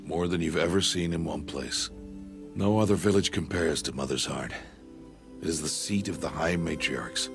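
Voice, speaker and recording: An aging outcast hunter and mentor in a video game. His voice is exceptionally deep with a rough, creaky grain. He speaks in a solemn, restrained, fatherly way, with music clearly playing behind him. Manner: grave, measured, restrained. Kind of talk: dramatic video game acting.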